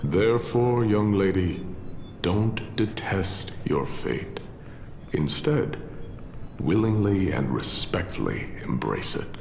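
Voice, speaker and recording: An actor voicing a grave, grandiose embodiment of strife in a video game, solemn and deliberate, welcoming death. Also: male voice, deep voice, lively intonation, gravelly, full character voice. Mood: solemn, exhorting. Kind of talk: theatrical video game voice acting. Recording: echoey with faint music behind.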